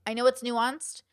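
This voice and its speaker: A young woman hosting a commentary show, talking straight to her viewers in a casual, animated way with some swearing. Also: a little high, clear, resonant tone, dry recording, clean studio sound.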